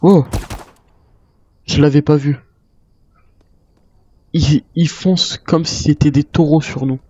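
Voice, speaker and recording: A man's voice: on the high side, steady tone, slow pace, mellow, husky, no echo, lo-fi sound. A man improvising in character as a survivor in a zombie game, thinking aloud as he plays. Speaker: gamer roleplaying a zombie-island survivor. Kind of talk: in-character gameplay narration, improvised.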